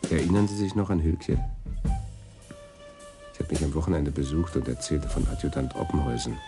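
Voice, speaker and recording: An actor playing a thoughtful police detective in a radio crime drama. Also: male voice, rumbling low, animated, a little fast. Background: music.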